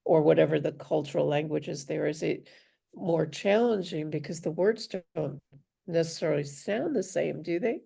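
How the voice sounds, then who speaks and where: An American woman hosting an interview, asking curious questions in a relaxed, casual way. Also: low-pitched, normal speed, vocal fry, slightly rough. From United States. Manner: curious, asks leading questions. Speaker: podcast host.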